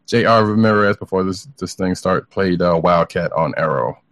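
Very low-voiced man, casual podcast co-host. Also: normal speed, a bit bright, no echo, studio quality. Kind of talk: casual comic book news chat.

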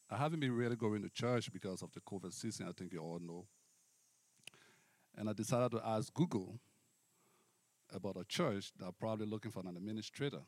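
A middle-aged man with a Liberian accent, preaching and giving testimony to a congregation in deliberate, devout, formal phrasing. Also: on the low side, a little mellow, no room sound. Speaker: guest preacher. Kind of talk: personal testimony and sermon to a congregation.

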